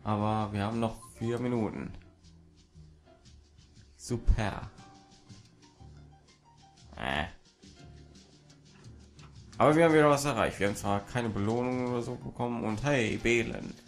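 A man's voice: medium pitch, expressive, measured pace, long pauses, soft background music. A German man giving casual commentary while playing a video game. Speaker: let's player.

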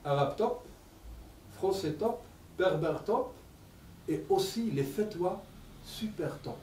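A man in his sixties with an Arabic accent, giving an animated public talk with many repeated words. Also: high voice, takes it slowly, slight background noise. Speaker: lecturer. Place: lecture room. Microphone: table stand mic. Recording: echoey room, mic at a distance.

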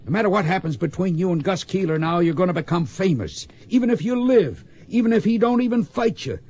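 A husky, folksy mentor. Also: male voice, high-pitched, lively intonation, brisk, a little mellow. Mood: uneasy, earnest. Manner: folksy, plainspoken. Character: folksy old-West gunfighting mentor, plainspoken and wary. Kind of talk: radio western drama acting.

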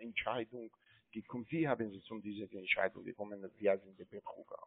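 A man with a foreign accent in German, not a native speaker, arguing as a call center agent in halting, repetitive, agitated phrases over the telephone. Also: lowish voice, steady tone, vocal fry, breathy edge, dry recording. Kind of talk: heated phone argument. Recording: tinny narrowband phone line.

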